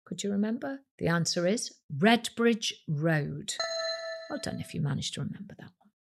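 A British woman hosts a quiz podcast in a husky, creaky voice. Her pitch sits a little low but swings widely as she reads out questions and reveals answers. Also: big pitch swings, normal speed, soft background music. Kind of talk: scripted quiz narration addressed to listeners.

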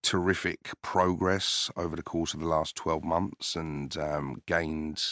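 A man who grew up in the UK, a company founder answering questions in a business podcast interview, measured and hesitant with many filler sounds. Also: a bass voice, level tone, fairly bright tone, no echo, clean studio sound. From United Kingdom.

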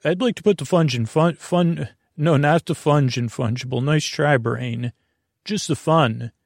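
Creaky middle-aged guy rambling at bedtime, goofy tangents, super dry close mic. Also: on the high side, measured pace, no room sound. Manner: meandering, self-interrupting, goofy asides. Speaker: sleep podcast host.

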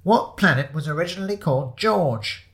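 A man voicing a pub quizmaster in a story, announcing trivia questions in a measured, projected way. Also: high-pitched, sing-song, pushed and bright, voice pushed up, heavily acted. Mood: formal, unbothered.

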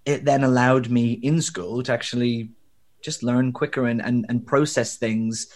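A man's voice: medium pitch, fairly even intonation, unhurried. A young man from Northern Ireland with a faint, diluted Northern Irish accent, a theatre director talking reflectively in an interview, with plenty of fillers and restarts. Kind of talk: conversational interview.

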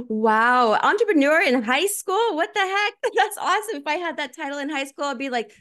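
A woman in her forties hosting a podcast, chatting casually, bubbly and quick to laugh. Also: high voice. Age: 40s. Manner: bubbly, laughs often, lively exclamations. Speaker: podcast host. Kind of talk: casual interview chat.

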